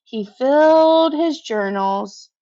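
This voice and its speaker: A woman reading a picture book aloud to children in an expressive, conversational way, pausing for casual asides of her own. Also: sits high, highs cut off. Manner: expressive, conversational, pauses to comment.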